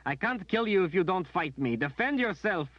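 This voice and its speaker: A man's voice: high-pitched, animated, slow pace, no echo, pitched above the performer's own voice, heavily acted. A man voicing an anguished young woman warrior, sobbing and pleading in a raised voice. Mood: desperate pleading. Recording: dull dry recording.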